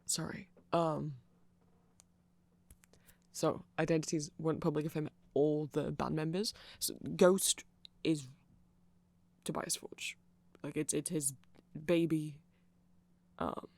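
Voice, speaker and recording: A performer voicing a nerdy vampire in a casual ASMR roleplay, explaining things in a hesitant, digressive, conversational way with a creaky voice. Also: mid-range voice, dry recording. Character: nerdy rambling vampire, eager fan explaining band lore.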